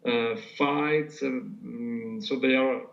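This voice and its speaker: A man from Italy with an Italian accent, answering interview questions in English with many pauses and fillers. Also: medium pitch, steady tone, vocal fry, dull audio. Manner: hesitant, many fillers, searching for words. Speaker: physical therapist guest.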